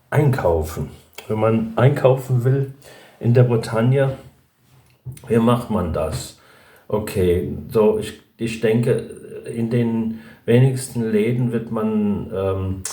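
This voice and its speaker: Slow, hesitant Breton man. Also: fairly low pitch, dark tone. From Brittany. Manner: hesitant, rambling, many fillers and restarts. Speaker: language vlogger. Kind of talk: casual chat about learning a language. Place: at home with a dog nearby. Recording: echoey room.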